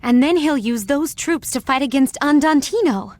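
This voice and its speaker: A woman's voice: high voice, animated, moderate pace, a little mellow, no room sound. A woman voicing a polite, anxious young female rebel in a video game, hesitant and questioning. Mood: earnest, uncertain.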